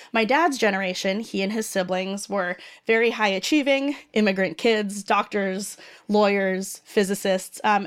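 A woman from the Boston area, a restaurant owner, explaining things in an upbeat, casual way with frequent ums. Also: medium pitch. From Boston area, United States. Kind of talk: casual conversation and cooking demo.

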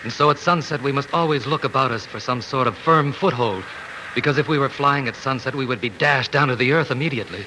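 Man as enchanted prince, strong earnest brother, medium pitch, 1940s radio acting, clear background hiss and noise. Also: fast talker, natural voice. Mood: solemn. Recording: noisy old 1940s radio recording.

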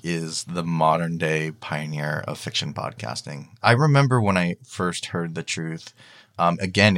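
Low-voiced man in a casual interview. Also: fairly bright tone. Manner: enthusiastic, reflective, halting. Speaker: guest, fiction podcast creator.

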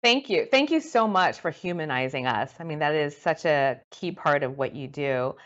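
A woman's voice: deep voice, lively intonation, moderate pace, fairly bright tone. A woman interviewer asking fluent, prepared questions, heard on a dull lo-fi recording.

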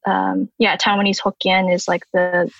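A soft-spoken woman in her twenties talks as a podcast guest in an even, flat tone. The sound is dull and lo-fi, with a dry, close feel. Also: mid-range voice, moderate pace, gravelly, no echo. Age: 20s. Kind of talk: reciting her own poem, then casual interview talk.

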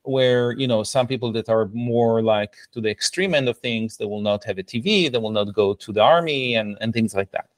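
A middle-aged man with an Israeli accent speaks as a guest in a conversational interview. His voice sits at an ordinary male pitch and he explains things reflectively. The recording is dry, with no room sound. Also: moderate pace, hardly any pauses, dry recording. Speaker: podcast guest, product coach.

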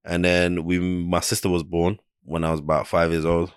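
A young man from Iraq with a London accent, telling stories in a relaxed, slangy podcast chat. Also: low voice, normal speed, smooth, no echo, clean studio sound. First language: Arabic. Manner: laid-back, slangy storytelling. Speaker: podcast co-host. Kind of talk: casual chat.

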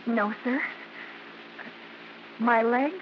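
A woman's voice: on the high side, extremely slow. An actress playing a shy, eager young chorus girl, timid and polite, in old-time radio style. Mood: nervous, hopeful. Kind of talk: 1940s-50s radio acting. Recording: dull old radio broadcast, light hiss.

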